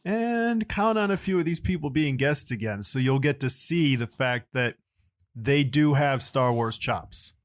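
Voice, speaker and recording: A man's voice: mid-range voice, lively intonation, a little slow. A man hosting a podcast in a casual, chatty style. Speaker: podcast host.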